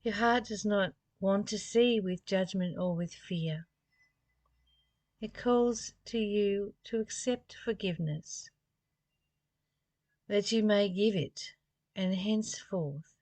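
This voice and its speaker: A woman's voice: mid-range voice, slow, long pauses, darkish tone, no room sound. A woman reading spiritual text aloud and musing on it informally, in a reflective way with rhetorical questions. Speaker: spiritual study reader and commentator.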